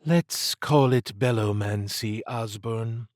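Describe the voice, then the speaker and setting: A man's voice: fairly low pitch, takes it slowly, a little mellow, no room sound, clean studio sound. A man voicing an authoritative, wry woman who commands a secret organisation, in audiobook dialogue. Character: brisk, resolute woman commander of a secret foundation.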